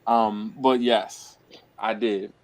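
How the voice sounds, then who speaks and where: A man's voice: on the low side, fairly even intonation, drawn-out words, a little mellow. A man with an American accent hosting a casual late-night chat with friends, laughing often and repeating himself as he tells a story. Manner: laughs often, repeats "I'm telling you". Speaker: host.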